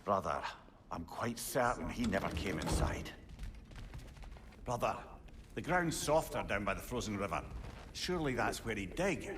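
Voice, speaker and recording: A man's voice: lowish voice, sing-song, normal speed, long pauses, background noise. An actor playing a talkative, wise severed head who advises in a wry, fatherly way in a video game.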